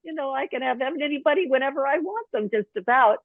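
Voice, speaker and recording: Slightly hoarse woman on a phone line. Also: high voice, fast talker, no echo. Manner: chatty, animated storytelling with many fillers. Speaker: podcast guest, author and actor. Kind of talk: casual interview chat. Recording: dry, thin phone-line sound.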